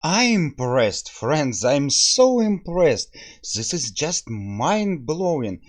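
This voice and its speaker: A man's voice: a little high, big pitch swings, slow. A man giving casual game commentary, thinking aloud in short reactions as he plays along.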